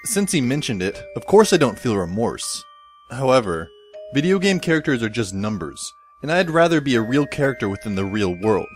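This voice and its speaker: A man plays a long-suffering schoolboy telling his own story in an audio drama. His mid-pitched voice is dry and sardonic, with literary phrasing, and faint music runs behind it. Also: big pitch swings, moderate pace, close to an everyday voice. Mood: wry, pensive. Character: wry, long-suffering teenage schoolboy narrator. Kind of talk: first-person audio drama narration.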